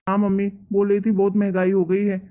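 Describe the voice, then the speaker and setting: A woman's voice: medium pitch, monotone, fairly quick, close to an everyday voice. A woman voicing a timid, dutiful grown-up son in a cartoon family drama, speaking in a soothing, pleading way. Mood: matter-of-fact. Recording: tinny, narrow phone-like sound.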